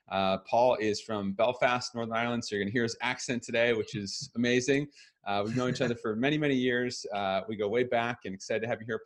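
A man hosting a fitness business podcast, upbeat and enthusiastic in his scripted intro and interview questions. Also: medium pitch. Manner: upbeat, enthusiastic promotional delivery. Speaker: podcast host.

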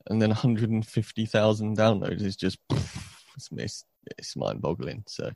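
A man with a lowish voice chats casually and cracks light jokes as a podcast co-host. His sound is close and dry. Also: unhurried, creaky, a little mellow, no room sound.

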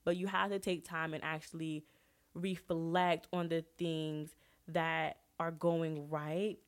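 A woman's voice: low-pitched, little pitch movement, takes it slowly, smooth. A young woman hosting her own podcast, talking casually and earnestly to listeners while congested and sniffly from a cold. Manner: earnest, asks listeners direct questions. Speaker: podcast host. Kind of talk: casual solo podcast advice talk.

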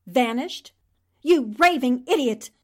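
A woman's voice: sits high, lively intonation, slow, heavily acted. A woman playing a bold, indignant newspaperwoman in an emphatic, dramatic 1940s radio drama style. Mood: outraged.

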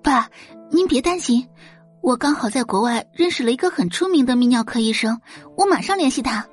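A sugary young daughter from an audio drama, dutiful and falsely meek voiced by a woman. Her voice sits very high and lilts with solicitous concern over faint music. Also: expressive, a little fast, slightly rough. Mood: reassuring.

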